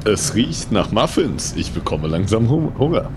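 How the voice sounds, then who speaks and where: Lowish, lively man improvising playfully. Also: a little slow, background noise. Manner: playful, theatrical exclamations. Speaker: player improvising as an adventurer. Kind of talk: improvised comic audio play.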